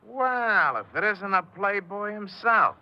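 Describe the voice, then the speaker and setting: An actor playing a slick small-time crook, wisecracking and needling with streetwise mockery, in 1950s radio drama style. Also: male voice, sits high, animated, slow pace. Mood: mocking, probing. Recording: muffled 1950s radio recording.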